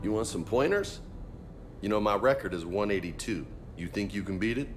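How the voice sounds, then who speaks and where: A man's voice: lowish voice, lively intonation, a little fast, music in the background, close to an everyday voice. A man voicing a brash, friendly young soldier and gym buff in a video game, cocky and slangy, with bits of Spanish. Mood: cocky, playful.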